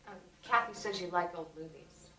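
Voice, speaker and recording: An actress playing a sardonic sister who teases and cracks wise, heard in an echoey room from some distance. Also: female voice, on the low side, moderate pace, bright, forceful tone. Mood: wry. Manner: teasing, wisecracking. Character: sardonic, teasing woman, a smoker. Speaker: visiting sister. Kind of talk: film dialogue. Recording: echoey room, mic from afar.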